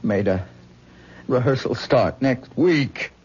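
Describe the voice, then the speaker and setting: A playful, evasive actor husband. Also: male voice, medium pitch, lively intonation, slow, darkish tone, breathy edge. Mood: defensive. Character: charming, childish stage actor husband. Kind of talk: 1970s radio drama acting. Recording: dull old radio broadcast recording.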